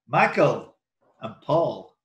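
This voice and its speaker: A man's voice: mid-range voice, very animated, extremely slow, a bit bright. Male quizmaster, mid-range voice, reading questions over an online call with noticeable room echo. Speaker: quiz host and question reader. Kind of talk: live quiz hosting, reading questions aloud. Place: indoor room, online video group call. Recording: roomy, echoing online call audio.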